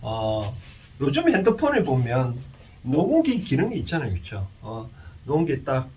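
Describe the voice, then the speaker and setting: A man with a Gyeongsang Korean accent giving an informal explanatory lecture, speaking haltingly and often putting rhetorical questions to his listeners. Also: medium pitch, wildly swooping pitch, warm tone. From Gyeongsang region, South Korea. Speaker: lecturer and counsellor. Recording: distant mic with faint background noise.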